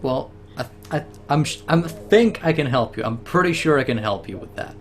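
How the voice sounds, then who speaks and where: An Israeli man, a native Hebrew speaker, tutoring a new student on a video call, explaining patiently with slow restarts. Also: on the high side, lively intonation. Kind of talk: casual orientation call.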